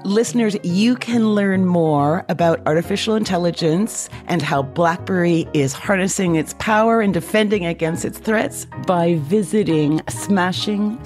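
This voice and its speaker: A woman co-hosting a podcast with a hoarse, cracky voice, chatty and quick to laugh. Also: on the low side, a little mellow, soft background music. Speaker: podcast co-host. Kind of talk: podcast interview and outro.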